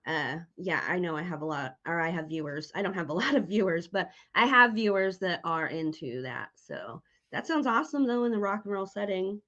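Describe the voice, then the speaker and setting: A woman's voice: on the low side, animated, a little fast, few pauses, sharp tone, lo-fi sound. A woman hosting a casual interview, speaking informally and correcting herself as she goes. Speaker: interviewer, host.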